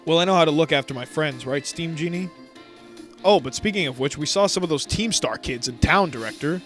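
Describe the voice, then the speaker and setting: An eager, cheerful schoolgirl from a video game, read aloud flatly by a man. The delivery is plain text-reading with a slightly raised pitch. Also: a little fast, faint music behind. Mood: upbeat.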